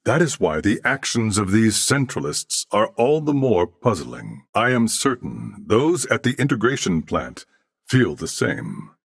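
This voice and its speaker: J.J., deep, courteous machine-merged humanoid. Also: male voice, big pitch swings, vocal fry, dry recording, clean studio sound, heavily acted. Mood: calm, explanatory. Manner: formal, measured, earnest diction. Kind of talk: scripted video game character acting.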